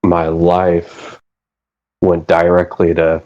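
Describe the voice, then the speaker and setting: Very deep, slow, halting man. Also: monotone, a little mellow, no room sound, highs cut off. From United States. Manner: hesitant, halting. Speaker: guest on a call. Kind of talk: casual phone chat.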